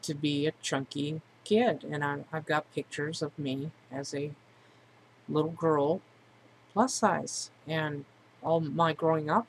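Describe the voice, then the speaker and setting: Woman, forties, candid and reflective vlogger speaking casually, noisy amateur recording. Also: sits low. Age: 40s. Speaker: vlogger introducing themself. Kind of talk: personal monologue to camera, casual.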